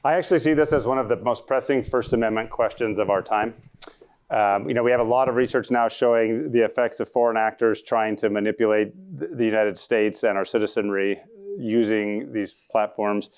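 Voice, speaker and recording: A man with a deep voice, a law professor answering audience questions at a talk in an earnest, conversational way that often backs up and corrects itself. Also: fairly even intonation, brisk, a little mellow. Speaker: law professor, panel speaker.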